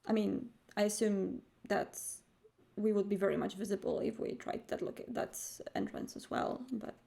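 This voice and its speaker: A woman voicing a cautious, practical vampire in a tabletop roleplay, speaking politely and haltingly. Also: mid-range voice, fairly even intonation, vocal fry, mellow, close to an everyday voice. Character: cautious, practical female vampire coterie member.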